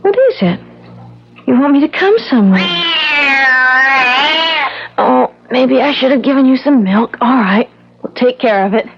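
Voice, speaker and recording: An actress playing a frightened young pregnant wife in a 1970s radio drama, pleading and close to tears. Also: female voice, sits high, lively intonation, a little slow, vocal fry, pauses often, a little hiss or hum, heavily acted. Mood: coaxing. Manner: tremulous, pleading, near tears. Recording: dull old radio recording with music.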